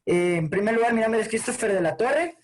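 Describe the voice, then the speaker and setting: A man's voice: sits high, animated, a little fast. A young man from Ecuador with an Ecuadorian accent, hosting an online session and reading a formal script over a video call. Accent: Ecuadorian Spanish. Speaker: host.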